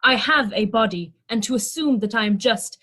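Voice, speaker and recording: A woman's voice: a little high, lively intonation, moderate pace, sharp tone. Formal, literal-minded alien crew member voiced by a woman. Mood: puzzled, literal. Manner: formal, precise, literal phrasing. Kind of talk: scripted sci-fi audio drama.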